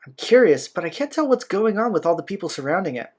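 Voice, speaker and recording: A man's voice: sits high, sing-song, fast. A man hosting a let's play, reading game narration aloud with casual asides. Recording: game music behind the voice.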